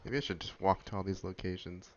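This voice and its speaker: A woman's voice: rumbling low, fairly even intonation, fast talker. A woman giving casual game playthrough commentary, with muttered asides and playful reactions. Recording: dull lo-fi mic, faint noise.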